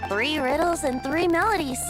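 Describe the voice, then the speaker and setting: A woman's voice: high voice, normal speed, natural voice. A woman playing a playful young male bard who is secretly a wind god, with a light boyish voice and a whimsical, theatrical, teasing manner, for a video game. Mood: storytelling. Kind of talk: English video game voice acting. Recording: loud music behind the voice.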